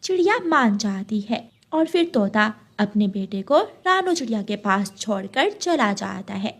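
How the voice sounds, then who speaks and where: A woman reading scripted narration for a children's animated story. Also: medium pitch, measured pace.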